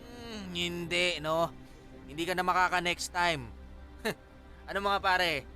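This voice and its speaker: An actor playing a menacing, mocking drunken thug who bullies and taunts in a radio drama. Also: male voice, sits high, slow, pushed and bright, soft background music, exaggerated voice. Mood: threatening. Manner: taunting, swaggering, punctuated with mocking laughter.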